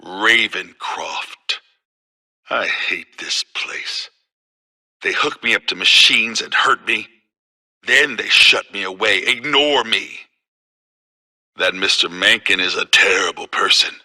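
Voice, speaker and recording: A man playing a tormented, vengeful asylum inmate in a video game, brooding and menacing, with halting, bitter intensity. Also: on the low side, animated, pushed and bright, heavily acted. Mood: bitter, wounded. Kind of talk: dramatic game monologue.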